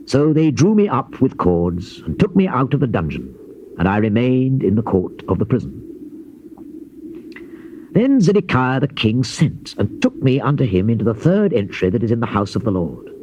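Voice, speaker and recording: Male narrator for dramatized scripture: dark, mellow voice, solemn measured delivery, dulled noisy tape sound. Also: mid-range voice, very animated. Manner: solemn, theatrical, measured archaic diction. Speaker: scripture narrator playing the prophet Jeremiah. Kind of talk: dramatized King James Bible reading. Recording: muffled, noisy old cassette tape.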